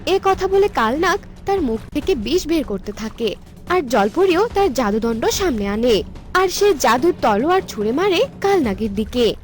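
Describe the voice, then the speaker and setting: Female fairy-tale narrator for cartoons: very high voice, scripted storytelling, light music underneath. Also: very animated, moderate pace.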